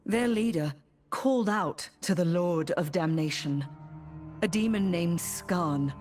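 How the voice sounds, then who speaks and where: A solemn necromancer for a fantasy game. Her low voice moves slowly and deliberately, cool-headed and restrained. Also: darkish tone, faint music behind. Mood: grave. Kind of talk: dramatic video game voice acting.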